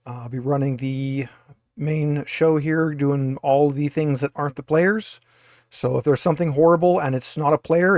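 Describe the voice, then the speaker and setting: A man's voice: fairly high pitch, a little mellow, dry recording, studio quality. A man running a tabletop roleplaying game as game master, talking in a casual, joking, informal way.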